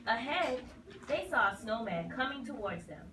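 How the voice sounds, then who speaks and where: A woman's voice: on the high side, big pitch swings, moderate pace. A woman narrating a scripted children's puppet story. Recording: roomy, distant mic, clear noise.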